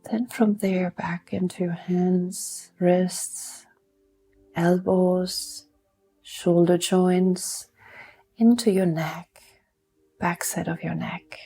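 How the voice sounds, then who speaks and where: Slow, low-pitched woman guiding meditation. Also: fairly even intonation, soft background music. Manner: soft, soothing, unhurried instruction with long pauses. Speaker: meditation guide. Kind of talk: guided mindfulness meditation.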